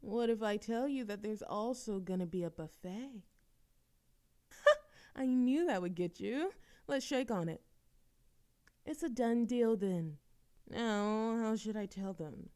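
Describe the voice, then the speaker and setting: A woman's voice: fairly high pitch, slow, long pauses. A woman playing a playful, dramatic young woman chatting teasingly with a best friend in an audio roleplay. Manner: animated complaining, teasing, theatrical exasperation. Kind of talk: intimate friend roleplay audio acting, conversational.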